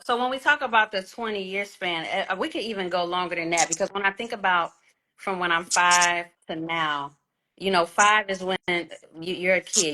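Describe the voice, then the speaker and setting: A woman's voice: fairly low pitch, sharp tone, poor audio. A woman in her thirties, an R&B singer talking candidly in a casual interview, swearing freely and often repeating words. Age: 30s. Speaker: guest R&B singer and songwriter.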